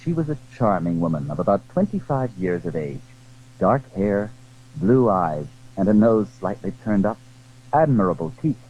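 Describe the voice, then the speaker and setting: A man narrating a period adventure story in a measured, literary, dramatic style. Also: lowish voice, sing-song, narrow, tinny audio, a little hiss or hum.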